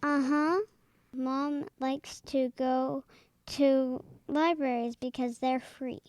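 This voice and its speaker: Earnest, high-voiced little girl. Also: little pitch movement, takes it slowly, darkish tone, smooth, no echo. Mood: matter-of-fact. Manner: earnest, matter-of-fact, bossy. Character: earnest, insistent little girl praying for her mother. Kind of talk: audio drama acting.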